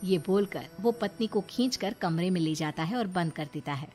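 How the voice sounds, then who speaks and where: A woman's voice: fairly low pitch, expressive, moderate pace, a little mellow, faint music behind. A woman storyteller reading scripted narration for a moral story.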